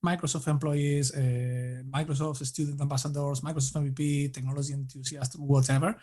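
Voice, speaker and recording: A man from Argentina with an Argentine Spanish accent, answering interview questions in a casual, halting way with many restarts. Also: a little high, little pitch movement, dark tone. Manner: halting, many restarts and fillers, laughs lightly. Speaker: guest.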